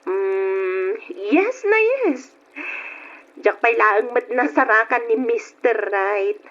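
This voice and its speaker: A woman's voice: a little high, expressive, takes it slowly, fairly bright tone. A woman acting in an Ilocano radio drama, playing a supportive, caring single friend. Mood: cheerful. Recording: muffled old radio recording.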